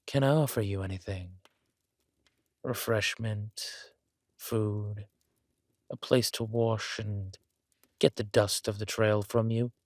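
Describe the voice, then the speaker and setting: A man voicing a suave fantasy king in a villain roleplay audio drama, speaking with smooth, measured and quietly threatening courtesy. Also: on the low side, no room sound, clean studio sound. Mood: polite, cool. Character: suave menacing male fantasy king, softly imposing.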